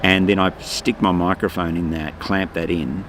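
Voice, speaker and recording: A man's voice: low voice, steady tone, normal speed, fairly bright tone. An older man with an Australian accent, a voice artist chatting in a casual interview, rambling and breaking off mid-sentence. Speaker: voice artist guest. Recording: noisy outdoor street ambience.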